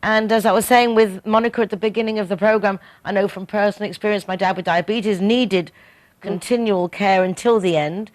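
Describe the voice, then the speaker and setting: A woman's voice: medium pitch, fairly even intonation, fairly quick. Female radio host, friendly conversational interviewer, mid voice. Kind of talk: interview hosting.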